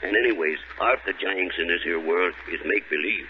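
A man playing a hearty, rough-spoken cartoon sailor with salty, ungrammatical talk in an old radio show. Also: low voice, fairly quick, a little hiss or hum, natural voice. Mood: cheerful. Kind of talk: 1930s radio cartoon acting. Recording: dull 1930s radio recording, loud music.

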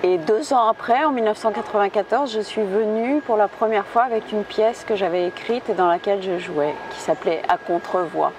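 A middle-aged woman from Tunisia, answering interview questions reflectively with pauses and restarts, on an outdoor café terrace with a lapel mic. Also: medium pitch, background noise. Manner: reflective, with frequent hesitations and restarts. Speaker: theatre director and physicist.

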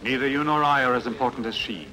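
A man's voice: mid-range voice, fast, crowd noise, natural voice, low-quality recording. A man playing a formal, calculating courtly schemer in a dubbed adventure film. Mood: grave, urgent.

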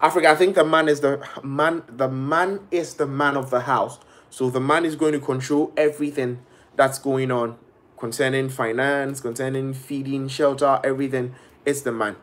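A man's voice: mid-range voice, normal speed. A young man from Ghana talking casually and animatedly into a webcam in a small bedroom, live-streaming to viewers. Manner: animated, gestures a lot, quotes people in shifting voices. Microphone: laptop or phone webcam at arm's length.

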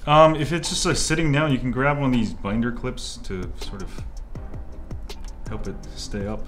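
A man's voice: moderate pace, music in the background. A man who grew up in Korea, a native Korean speaker, casually talking through a soldering tutorial at a workbench, chatty and rambling. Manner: chatty, jumps into excited high exclamations and mutters asides. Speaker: 3D printing tutorial host. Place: indoor workbench. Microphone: off-camera, hands-only shot at workbench.

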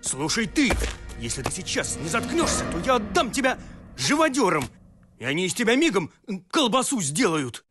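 An earnest young cartoon strongman in a high, widely swinging voice. He is simple-hearted and declaims forthrightly over clear background music. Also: sing-song, normal speed. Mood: indignant. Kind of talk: animated comedy film acting.

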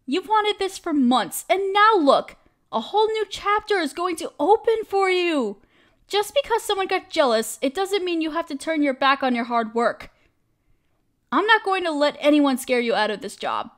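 A woman's voice: very high voice, moderate pace, exaggerated voice. A woman acting as a caring, protective best friend in an audio roleplay, speaking casually and emphatically. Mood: firm, encouraging. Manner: expressive, emphatic, casual with mild swearing.

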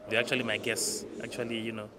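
A man's voice: fairly low pitch, little pitch movement, quick pace. A middle-aged man giving interview answers as a school hostel master. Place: outdoors by a brick school building. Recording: clear outdoor background noise.

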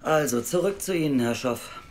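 An actress playing a brusque, teasing interviewer in an audio drama, with a deep voice and blunt, informal manner. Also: female voice, lively intonation, normal speed, a little mellow, a little hiss or hum. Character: brusque, teasing woman interviewer who loves musicals. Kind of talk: naturalistic audio drama acting.

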